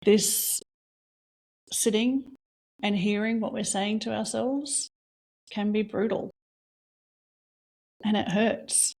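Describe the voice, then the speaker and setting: A woman leading a guided reflection exercise, asking slow, soft questions with long pauses between them. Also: medium pitch, steady tone, low-quality recording. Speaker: meditation guide.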